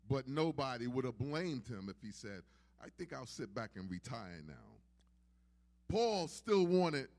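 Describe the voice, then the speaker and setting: A man's voice: mid-range voice, darkish tone. A man preaching a sermon in an emphatic, repetitive preaching cadence. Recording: long hall reverb.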